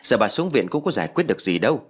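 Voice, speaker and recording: Narrator as worried village man, animated pitch. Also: slightly rough. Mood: concerned, explaining. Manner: plain-spoken, hurried explaining. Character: younger village neighbour man, earnest and worried.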